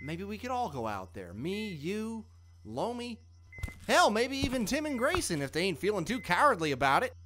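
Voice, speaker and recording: A man's voice: high-pitched, big pitch swings, moderate pace, bright, forceful tone, faint music behind, full character voice. A man playing a simple, loyal, worried working-class man in an audio drama, plain-spoken and folksy. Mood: eager, hopeful.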